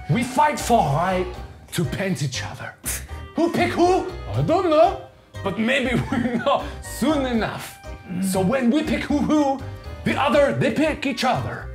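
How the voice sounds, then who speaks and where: A man's voice: extremely high pitch, very animated, measured pace, soft background music, pitched above the performer's own voice, full character voice. A man playing a pompous, theatrical French artist host, in a mock French accent with broken English. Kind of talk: comedic art show hosting.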